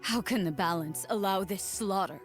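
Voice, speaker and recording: A woman's voice: mid-range voice, moderate pace, faint music behind, lightly acted. An actress voicing a stoic, courageous young female army leader of a fantasy game, solemn and confident. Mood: solemn, grieving.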